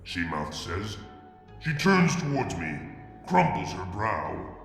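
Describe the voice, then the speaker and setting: A man reading a fanfic aloud in a soft, halting first-person narration. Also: low-pitched, wildly swooping pitch, creaky, roomy sound, long reverb tail, soft background music. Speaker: fanfic reader. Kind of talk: dramatic story reading.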